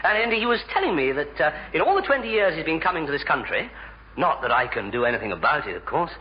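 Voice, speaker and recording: An actor playing a shy, flustered young English bachelor in a 1950s radio sitcom, stammering and wordy, with a British accent. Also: male voice, on the high side, very animated, fast talker. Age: young adult. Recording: dull, noisy old radio broadcast.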